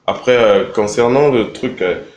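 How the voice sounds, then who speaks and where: A young man from Congo answering interview questions in a relaxed, halting way, with many fillers and repeated words. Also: on the low side, highs cut off, slight background noise. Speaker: rapper interviewed. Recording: echoey room with faint ambience.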